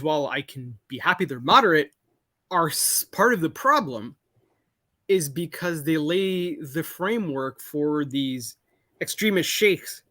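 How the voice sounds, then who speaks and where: Young man, halting fast restarts. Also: on the high side, pushed and bright, dry recording, clean studio sound. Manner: halting, many restarts and self-corrections. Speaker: caller. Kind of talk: casual call-in conversation. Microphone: call-in over a video call.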